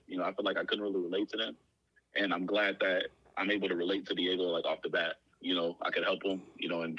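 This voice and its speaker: A man in his thirties, a guest offering advice in a quick, steady, reassuring way. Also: sits low, husky, no echo, muffled recording. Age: 30s. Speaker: guest, mentor.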